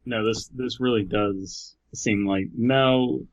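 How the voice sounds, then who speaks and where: A man's voice: mid-range voice, steady tone, slow pace, lo-fi sound. A man co-hosting a podcast, casually reading an online horror story aloud and commenting on it. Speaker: podcast co-host.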